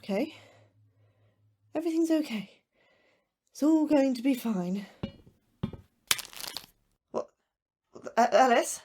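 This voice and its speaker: A woman's voice: sits high, animated, takes it slowly, pauses often, mellow, slight background noise. An actress playing an anxious astrobiologist in an audio drama, questioning and hesitant in her delivery. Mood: frightened. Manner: hesitant, stammering, incredulous.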